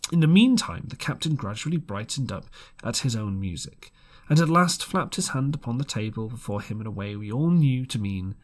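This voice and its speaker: A man reading an adventure storybook aloud in an expressive amateur style, dramatic and slightly halting. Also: medium pitch, husky. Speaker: audiobook reader.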